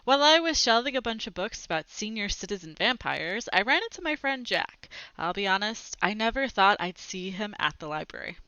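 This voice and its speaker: Animated young woman reading a children's book aloud. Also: mid-range voice, a little fast, few pauses, dry recording, dull audio. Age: young adult. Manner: animated, clearly phrased storytelling. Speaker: read-aloud storyteller. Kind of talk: expressive children's book read-aloud with brief asides. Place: small home room with bookshelves, indoors. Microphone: headset microphone.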